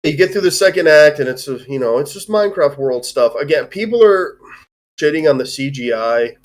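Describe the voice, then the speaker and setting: A man's voice: a little high, lively intonation, a little fast. Rambling, profane male film reviewer. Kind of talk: casual, unscripted movie discussion. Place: live online stream.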